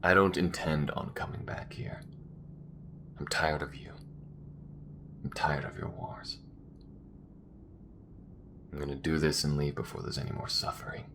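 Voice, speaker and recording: A principled rebel spacefarer acted by a man with a low voice, earnest and emphatic in his delivery. He comes across as weary yet defiant and protective, in a sci-fi audio roleplay with noticeable ambient noise. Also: steady tone, measured pace, vocal fry, pauses often, exaggerated voice. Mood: weary, determined. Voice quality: low-pitched.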